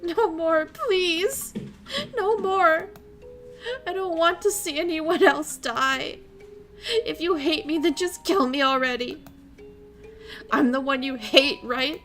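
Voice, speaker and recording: A woman voicing a terrified teenage girl locked in a cell, pleading in a shrill, frantic voice. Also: slow, long pauses, a bit bright, soft background music.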